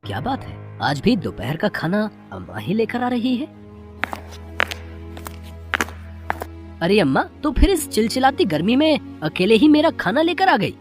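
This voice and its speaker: A woman's voice: on the high side, very animated, moderate pace, few pauses, music in the background. A woman voicing a weary, put-upon young farmer husband in a moral story, with a plaintive, coaxing manner. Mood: concerned. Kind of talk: dramatised Hindi moral story.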